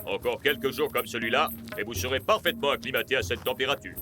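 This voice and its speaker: A self-assured football coach from a cartoon acted by a man, with a mid-pitched adult voice. He instructs briskly and waves away worries. Loud music plays behind him. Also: natural voice. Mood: reassuring. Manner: brisk, dismissive of fears, instructing. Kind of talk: French cartoon dubbing.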